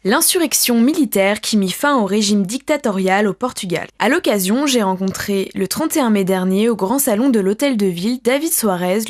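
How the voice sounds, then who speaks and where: A woman's voice: mid-range voice, a little mellow, no room sound. Woman radio host, dry close voice. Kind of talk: scripted radio introduction and interview questions.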